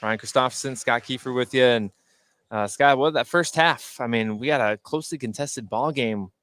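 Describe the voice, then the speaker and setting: A man's voice: mid-range voice, moderate pace, fairly bright tone. A man doing live play-by-play basketball commentary for radio as the announcer.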